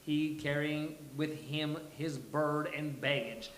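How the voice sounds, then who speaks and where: A man presenting a live table read in a halting, informal way, as in casual chat. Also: a little high, steady tone, a bit bright, a little hiss or hum. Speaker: table read presenter reading stage directions. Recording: echoey room.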